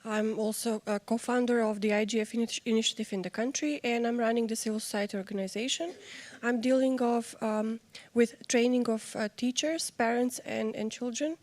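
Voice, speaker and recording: Higher-pitched Macedonian woman, hesitant. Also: darkish tone, no room sound. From North Macedonia. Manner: hesitant, searching for words. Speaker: civil society delegate. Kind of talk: self-introduction at a meeting.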